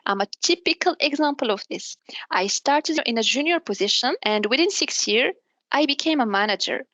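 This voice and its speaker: A woman in her thirties from Morocco, a native Arabic speaker, answering interview questions in a careful, measured way with some self-corrections. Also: high voice, expressive, a bit bright, no room sound. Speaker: business development manager, guest.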